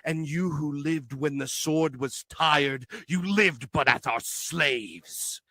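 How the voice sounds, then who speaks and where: A man reciting poetry dramatically, in a solemn, declamatory manner with measured phrasing. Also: on the high side, pushed and bright. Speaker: poetry reader.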